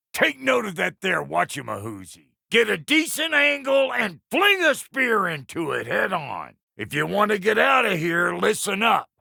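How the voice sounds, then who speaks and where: A man voicing a gruff, cranky, foul-mouthed but fond dwarf blacksmith in a video game, with a raspy, folksy drawl. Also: high voice, pushed and bright, no room sound, studio quality, heavily acted. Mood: encouraging. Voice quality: gravelly, raspy. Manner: folksy, crude, blustering drawl. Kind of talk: video game character dialogue.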